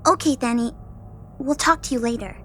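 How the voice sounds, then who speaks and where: Woman playing a very high, bubbly teasing death goddess. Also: a little fast, music in the background, natural voice. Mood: playful. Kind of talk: amateur audio drama acting.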